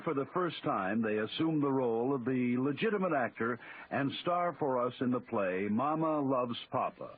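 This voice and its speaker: A thin vintage radio recording with light hiss carries an American man as host. He gives a scripted theatrical introduction in a medium-pitched voice. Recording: tinny, noisy old radio broadcast.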